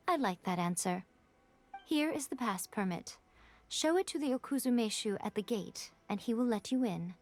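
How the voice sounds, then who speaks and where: A woman's voice: on the high side, expressive, unhurried, long pauses. A woman voicing a sly, teasing shrine priestess in a game cutscene, playful and mocking in manner.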